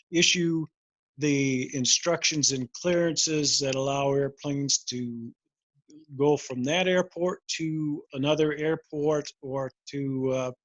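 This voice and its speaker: An older American man giving an informal career talk, explaining and recounting in a measured, reflective way. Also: fairly high pitch, dry recording, muffled recording. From Montana, United States. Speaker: air traffic controller, career fair guest speaker.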